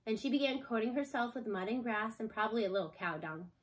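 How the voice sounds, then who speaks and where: A woman narrates a children's chapter book. Her voice sits a little high, and she reads expressively, as a storyteller would. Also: expressive, fast, poor audio. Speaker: storybook reader.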